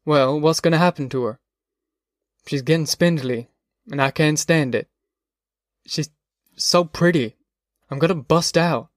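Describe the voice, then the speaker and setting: A man's voice: a little high, measured pace, pauses often, dry recording, studio quality, lightly acted. A man voicing a despairing migrant laborer and worried father, bitter and folksy, in an audiobook.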